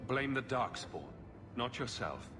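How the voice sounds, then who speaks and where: An actor playing an earnest, consoling hero in a fantasy video game, speaking in a gentle, questioning manner. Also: male voice, medium pitch, expressive, measured pace, close to an everyday voice. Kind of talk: video game cutscene acting. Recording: music behind the voice.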